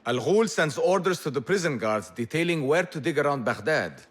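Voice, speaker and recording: Casting: proud theatrical rebel for game cutscenes, slightly high voice, grandiloquent and wry, swinging intonation. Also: male voice, lively intonation, moderate pace. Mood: grand, pleased. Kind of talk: video game cutscene dialogue.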